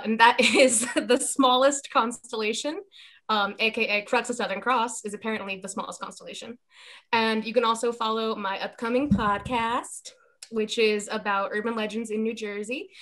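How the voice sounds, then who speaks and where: A woman's voice: fairly high pitch, fairly bright tone. A woman chatting casually as a panelist in an informal group conversation. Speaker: panelist and podcaster.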